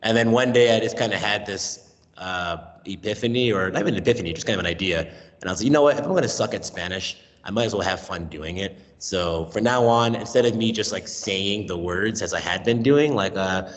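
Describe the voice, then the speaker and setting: An American man in his thirties, a language teacher talking as an interview guest, lively and given to acting out voices in his stories. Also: lowish voice, fairly quick, in a large hall. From United States. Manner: animated, acts out voices and accents in his stories. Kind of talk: casual interview talk. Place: remote call from Mexico.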